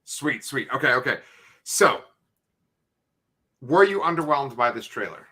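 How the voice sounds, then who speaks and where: A middle-aged man co-hosting a casual live chat, excitable and swearing freely as he explains things. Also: medium pitch, a little slow, husky. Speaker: co-host.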